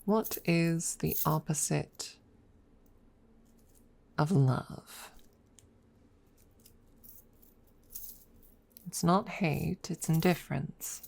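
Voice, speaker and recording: A woman voicing a haughty, centuries-old dragon rival who is secretly smitten, speaking haltingly in a close-miked ASMR roleplay. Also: low-pitched, creaky, a little mellow, heavily acted. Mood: irritated, smitten. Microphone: close microphone.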